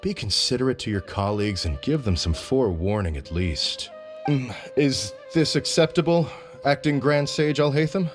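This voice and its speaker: An actor playing a stern but fair research supervisor in a video game, speaking in a measured, formal, admonishing way. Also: male voice, mid-range voice, faint music behind, close to an everyday voice. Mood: firm, polite. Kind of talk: scripted video game dialogue.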